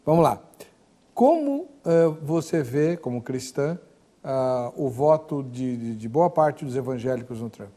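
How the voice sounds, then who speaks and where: A man's voice: medium pitch, animated, unhurried, dark tone. A Brazilian man hosting a studio talk show, introducing segments and guests with jokes. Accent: Brazilian Portuguese. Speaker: TV host.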